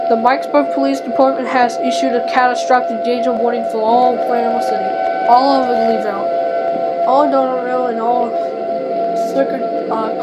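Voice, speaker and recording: Woman w kinda high voice doing homemade emergency alert announcements, stumbles n corrects herself, music going. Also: poor audio. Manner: stumbling, often self-correcting, reciting place lists.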